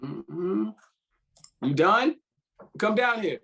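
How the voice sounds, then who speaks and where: A man's voice: high-pitched, expressive, drawn-out words, creaky, bright, forceful tone, exaggerated voice. A man playing a laid-back, bantering old lover in a drama script read, with an American accent and casual, slangy speech. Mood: casual, flirtatious. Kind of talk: informal screenplay table read.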